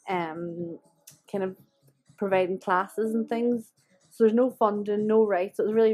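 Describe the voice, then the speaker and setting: A woman's voice: mid-range voice, mellow, poor audio. A young woman with a Belfast accent, talking earnestly in an interview about becoming a teacher, with frequent fillers. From Belfast, Northern Ireland. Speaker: trainee Irish-medium teacher. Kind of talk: documentary interview.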